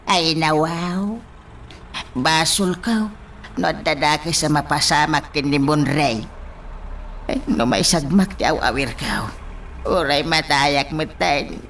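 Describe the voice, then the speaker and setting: An actress playing a guilt-stricken parent lamenting in drawn-out wails in an Ilocano radio melodrama. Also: female voice, low voice, fairly bright tone, a little hiss or hum, full character voice. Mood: guilty lament.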